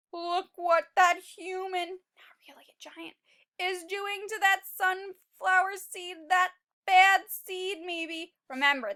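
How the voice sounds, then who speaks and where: A woman reading a picture book aloud as a teacher, expressive and exclaiming, prompting young children with questions. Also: extremely high pitch.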